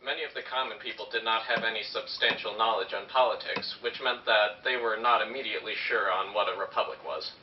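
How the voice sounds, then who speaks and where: Echoey, low-voiced male narrator. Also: fairly even intonation, fairly quick, hardly any pauses. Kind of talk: scripted history narration. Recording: echoey, muffled, noisy, from far off.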